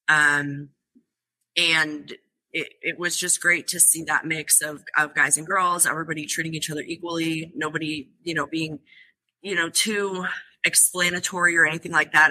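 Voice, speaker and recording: A woman's voice: sits low, bright, forceful tone, poor audio. A young woman who founded a college fishing club, answering podcast interview questions earnestly, with frequent fillers and restarts.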